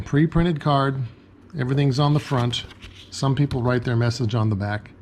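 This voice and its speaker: A man's voice: mid-range voice, a little mellow. A man narrating an explanatory show-and-tell as a ham radio hobbyist.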